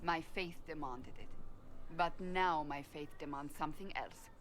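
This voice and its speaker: An actress playing a stern, devout warrior woman in a video game, speaking in a formal, measured way. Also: deep voice, soft background music. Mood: solemn conviction. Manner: formal, measured, declarative speech. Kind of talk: dramatic video game dialogue.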